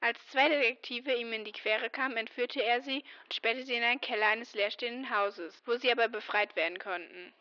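Young female playing a high-voiced intern newsreader. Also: fairly quick, hardly any pauses, pushed and bright, dry recording, muffled recording. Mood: brisk, newsy. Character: keen young schoolgirl and radio intern reporter. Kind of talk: amateur radio play acting.

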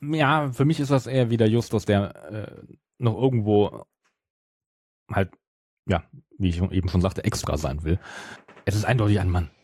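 A man's voice: lowish voice, normal speed, pauses often, a little mellow, no echo, studio quality. A man in casual podcast chat, musing hesitantly and hedging as a co-host.

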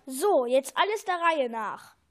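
A woman's voice: high-pitched, expressive, unhurried. A woman playing a self-important, orderly, all-knowing computer bookworm in a children's radio play.

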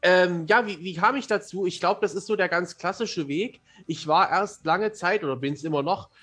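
Male interview guest, high-pitched and husky, wry and self-mocking, relaxed colloquial phrasing. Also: normal speed. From Germany. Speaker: fantasy author, podcast guest. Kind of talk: casual interview chat.

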